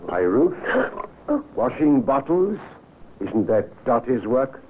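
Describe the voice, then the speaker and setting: A stern, suspicious gentleman in a vintage radio play. His mid-pitched voice is curt and commanding, with lively swings of intonation. The sound is muffled and noisy. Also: slow pace. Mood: suspicious, accusing. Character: stern, suspicious gentleman brother of a sick man. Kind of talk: old time radio drama acting.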